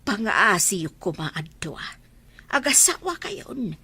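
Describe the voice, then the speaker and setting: Old mother, played by a woman, insistent and pleading, Ilocano accent, very expressive melody, radio drama. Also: medium pitch, slow pace, breathy edge, exaggerated voice.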